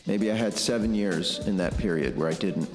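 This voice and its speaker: A middle-aged man giving a reflective, blunt personal monologue in a halting, deliberate way, repeating phrases for emphasis. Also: low-pitched. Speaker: podcast host. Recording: echoey long reverb, music underneath. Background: music.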